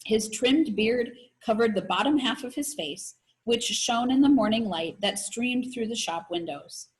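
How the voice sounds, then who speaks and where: Animated woman reading a children's story aloud. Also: fairly high pitch, unhurried, rough recording. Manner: animated storytelling with voices for characters. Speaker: teacher reading aloud to elementary students. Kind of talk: expressive read-aloud of a children's novel.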